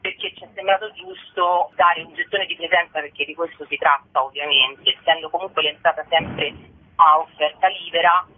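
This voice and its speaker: A woman's voice: fairly low pitch, steady tone, normal speed, slightly rough. A woman being interviewed on radio, explaining casually and discursively, often qualifying what she says as she goes. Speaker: guest, member of a theatre collective. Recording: muffled thin phone-like radio sound.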